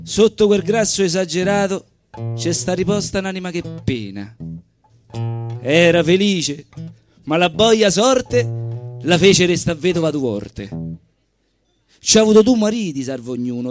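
A man with a Roman accent giving an expressive, theatrical live recital of comic verse in dialect. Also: high voice, dry recording, clean studio sound. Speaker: stage performer. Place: live stage at a social centre event. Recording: live evening show recording with music.

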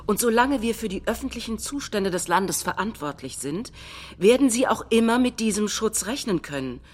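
A woman reading quoted political statements in a measured, declamatory way, as in scripted documentary theatre. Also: medium pitch, animated. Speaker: stage reader of political quotations.

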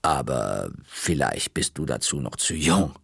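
A man voicing a smug, quietly threatening woman librarian, speaking slowly, in a storybook reading. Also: extremely low pitch, expressive, vocal fry, breathy edge, no room sound, clean studio sound, voice pushed down, exaggerated voice. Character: sly menacing woman librarian, middle-aged.

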